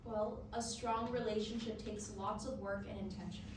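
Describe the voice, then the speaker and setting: A woman playing a patient, probing therapist in staged reading dialogue, with a measured, questioning manner. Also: mid-range voice, fairly even intonation, lightly acted. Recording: echoey room from far off, background noise.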